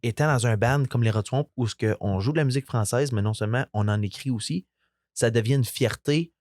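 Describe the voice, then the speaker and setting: A young man with a Franco-Ontarian French accent, a musician chatting as a guest, relaxed and rambling with lots of fillers. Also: mid-range voice, a little fast, no room sound, clean studio sound. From Eastern Ontario, Canada. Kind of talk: casual interview chat.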